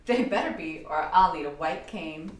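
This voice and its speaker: A woman's voice: low-pitched, expressive, normal speed, a little hiss or hum. Woman playing a sardonic doctor, heavily echoing. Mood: dry, needling. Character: sardonic, testy female doctor, an oddball scientist. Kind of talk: amateur radio play acting. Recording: very echoey room, distant mic.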